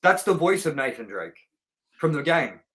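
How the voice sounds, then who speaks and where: A man's voice: fairly high pitch, sing-song, normal speed, a bit bright. A man co-hosting a film review show, chatting casually and enthusiastically with informal, sweary language.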